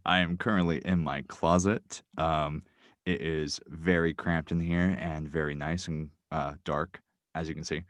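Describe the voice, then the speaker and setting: Low-voiced man rambling from a closet. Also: flat delivery, a little slow, no room sound. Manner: rambling, lots of ums and likes. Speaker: podcast guest. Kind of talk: casual chat. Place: small cramped closet. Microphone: microphone wrapped in a blanket. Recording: dry, deadened closet sound.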